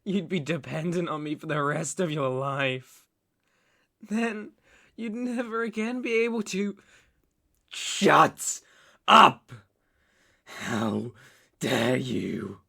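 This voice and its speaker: A British man playing an unstable, obsessive young mafia boss in an ASMR roleplay, speaking in a halting, menacing and volatile way with a husky, breathy voice. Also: on the high side, big pitch swings, exaggerated voice. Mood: wounded, threatening, then furious. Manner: halting, menacing, volatile, swinging between hush and outbursts. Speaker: roleplay voice actor. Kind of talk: dramatic ASMR roleplay monologue.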